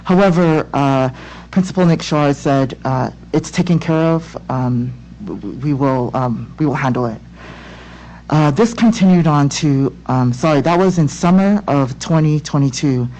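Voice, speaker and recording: A woman from Tonga speaking as a parent in public comment at a school board meeting, earnest and halting, with many restarts. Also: low voice, animated, warm tone, dull audio, a little hiss or hum. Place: home room on a video call. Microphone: laptop or webcam mic on video call.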